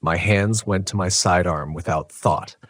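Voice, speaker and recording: A man reading a scripted first-person horror story in a measured, dramatic way. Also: sits low, a bit bright, dry recording. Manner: measured, dramatic, literary delivery.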